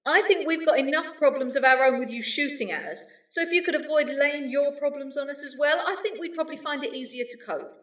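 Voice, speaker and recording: Woman acting a high-pitched, exasperated space traveller. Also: fairly quick, few pauses, a bit bright, dull audio. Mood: sardonic. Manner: dry, sarcastic. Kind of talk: amateur sci-fi comedy audio drama. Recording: distant mic, faint music behind.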